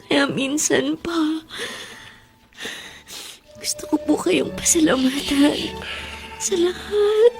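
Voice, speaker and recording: A woman acting a gravely ill, despairing young mother in a melodramatic radio drama, with weary, halting, tearful delivery. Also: sits high, animated, faint music behind, exaggerated voice. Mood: weak, despairing.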